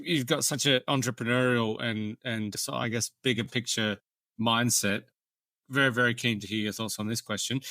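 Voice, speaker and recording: A man's voice: mid-range voice, bright, forceful tone, no room sound. A man co-hosting a podcast, talking casually as he interviews a guest.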